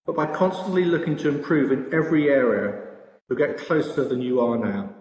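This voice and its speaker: A man's voice: medium pitch, a little fast, a little mellow. Echoey British man giving a presentation. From Britain. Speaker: industry conference presenter, sportsbook products director. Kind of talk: slide presentation. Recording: echoey room with long reverb.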